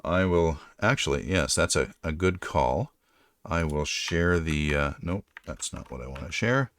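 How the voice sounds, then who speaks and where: Very deep-voiced middle-aged man chatting. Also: animated, measured pace, fairly bright tone, dry recording. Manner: rambling, self-correcting. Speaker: livestream host. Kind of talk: casual livestream chat.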